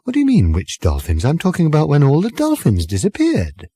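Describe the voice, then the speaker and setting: A man voicing an earnest, insistent woman, raised pitch. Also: wildly swooping pitch, fairly quick, mellow, husky, dry recording, clean studio sound, full character voice. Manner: emphatic, urgent explaining. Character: earnest, troubled young woman, insistent and intense.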